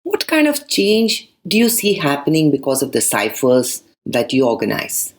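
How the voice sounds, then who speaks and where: A woman hosting a podcast puts short, direct questions to her guest. Her voice sits low, but its pitch moves in a lively way. She sounds a little off-mic. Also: moderate pace. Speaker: podcast host. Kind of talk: interview questions.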